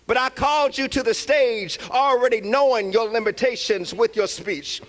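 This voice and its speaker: A man preaching as a booming divine director. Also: moderate pace. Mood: commanding. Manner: proclaiming, booming. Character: commanding male divine stage director.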